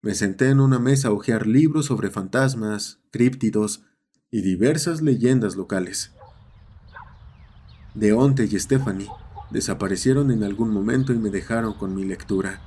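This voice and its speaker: A man's voice: on the low side, normal speed, warm tone, breathy edge. A man narrating a scripted horror story. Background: ambient sound effects.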